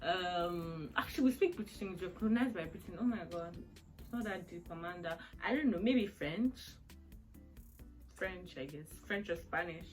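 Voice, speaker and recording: A woman's voice: lowish voice, expressive, moderate pace, faint music behind, low-quality recording. A woman in her twenties chatting through a question-and-answer vlog, rambling and laughing often. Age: 20s. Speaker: vlogger. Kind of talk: casual Q&A chat.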